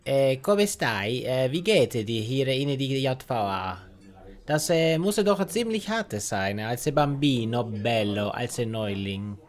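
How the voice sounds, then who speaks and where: A man playing a scheming, sentimental Italian inmate in an audio drama parody, with an Italian accent, halting broken grammar and sprinkled Italian words. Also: medium pitch, lively intonation. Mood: coaxing.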